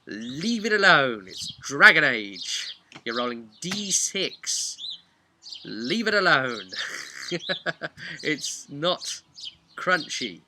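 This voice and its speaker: A man's voice: a little high, big pitch swings, drawn-out words, long pauses. Man chatting over noisy birdsong. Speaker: video host and tabletop game master. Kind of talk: casual unscripted explainer vlog.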